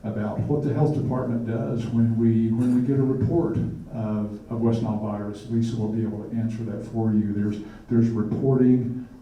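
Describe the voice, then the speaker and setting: A man with a low, dark voice presents to a forum, restarting phrases and doubling words as he goes. He is heard from afar in a very echoey hall with a faint hiss behind him. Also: steady tone, moderate pace, hardly any pauses. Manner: many restarts and repeated words. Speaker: public health official. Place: lecture hall. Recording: echoey hall, mic far off, faint noise.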